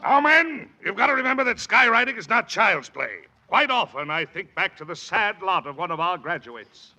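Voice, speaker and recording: A man's voice: sits high, big pitch swings, moderate pace, pushed and bright, exaggerated voice, low-quality recording. A man playing a hearty, melodramatic flight school instructor in a 1940s radio comedy. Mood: commanding.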